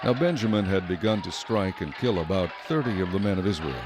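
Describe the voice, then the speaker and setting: Low-voiced male Bible narrator over background ambience. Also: expressive, noisy background. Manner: solemn, measured storytelling. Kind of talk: scripted dramatized Bible narration.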